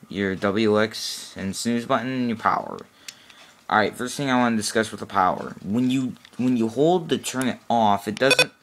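Low-voiced man giving an informal tutorial. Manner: matter-of-fact, instructional. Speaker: product demonstrator. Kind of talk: informal how-to tutorial, unscripted. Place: small cluttered room indoors.

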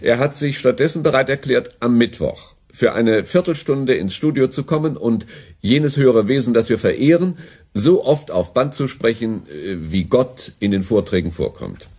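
Patronizing radio station boss, voice swings up and down, bit husky. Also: male voice, mid-range voice, animated, normal speed, a little mellow. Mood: apologetic, explaining. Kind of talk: German radio play acting.

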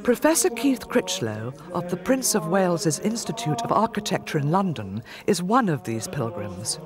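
Expressive woman narrating a documentary. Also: fairly low pitch, moderate pace. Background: music.

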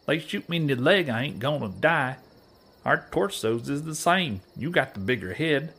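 A bickering, indignant cowboy in a comedy audio drama. His drawl is husky and breathy, and his pitch jumps all over the place when he gets flustered. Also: animated, normal speed, fairly bright tone, exaggerated voice. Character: fussy bickering cowboy Lionel, worried about balding.

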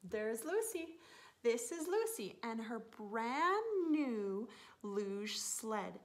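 Animated, higher-voiced woman reading slowly to children. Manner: animated, with gasps and drawn-out words. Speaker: teacher reading a picture book to young pupils. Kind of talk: expressive children's story time read-aloud. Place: living room, indoors on a sofa.

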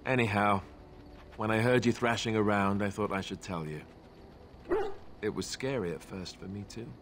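An actor playing an easygoing young warrior in a fantasy video game, wry, self-deprecating and casual. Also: male voice, on the low side, normal speed, background noise, close to an everyday voice. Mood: reassuring.

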